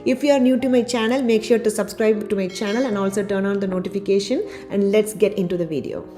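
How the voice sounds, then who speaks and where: A woman doctor giving a health talk to her channel's viewers, her voice a little raspy after a cold, steadily listing points one by one. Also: mid-range voice, quick pace. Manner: steady explanatory delivery, lists items one by one. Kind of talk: informal health talk to camera. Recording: faint background music.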